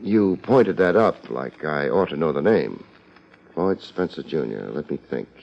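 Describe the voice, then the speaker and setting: An American actor playing a laid-back, wisecracking private investigator in a 1950s radio drama, dry and unhurried. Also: male voice, rumbling low, very animated, gravelly, full character voice. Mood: wry, laid-back. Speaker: lead actor and first-person narrator. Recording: dull, noisy old radio recording.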